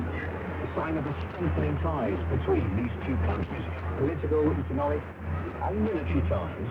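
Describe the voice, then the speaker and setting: A man giving a scripted radio dispatch as a news correspondent, heard over shortwave. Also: fairly high pitch. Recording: tinny shortwave, very loud static.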